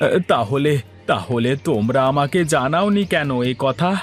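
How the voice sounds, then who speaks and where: A man's voice: mid-range voice, expressive, measured pace, faint music behind, lightly acted. A man playing an earnest, anxious young village husband in a melodramatic cartoon. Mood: agitated.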